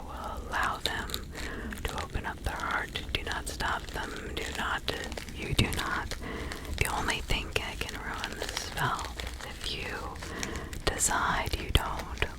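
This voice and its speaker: A woman whispering slowly and breathily in an ASMR roleplay, playing a kindly, protective witch who gives careful instructions. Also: rough recording. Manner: slow, deliberate, instructing with soft repetition. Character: kindly, protective 17th-century witch woman giving counsel.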